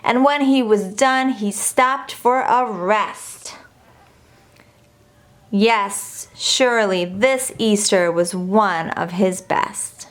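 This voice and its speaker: A woman reading a rhyming children's picture book aloud in an expressive, deliberate storytelling manner. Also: mid-range voice. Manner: measured, deliberate storytelling delivery. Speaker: storybook reader.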